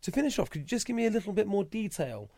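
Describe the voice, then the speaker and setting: A man hosting an interview podcast, asking measured follow-up questions. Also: high voice, big pitch swings, dark tone, dry recording. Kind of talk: conversational interview.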